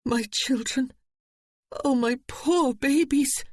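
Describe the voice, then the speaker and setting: A narrator voicing a distraught young gentlewoman whose voice shakes with pleading. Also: slow pace, no room sound, studio quality. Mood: anguished. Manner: shaking, pleading, high-strung.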